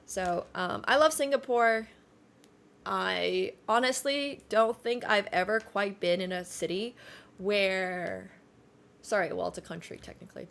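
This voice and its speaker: A woman's voice: medium pitch, creaky. A young woman whose first language is Chinese, chatting casually on a livestream, rambling with lots of fillers and self-corrections. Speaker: streamer.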